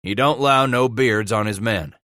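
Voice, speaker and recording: A man reading aloud, voicing a steady, plain-spoken male brigade leader with a frontier drawl. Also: lowish voice, normal speed, no echo, studio quality.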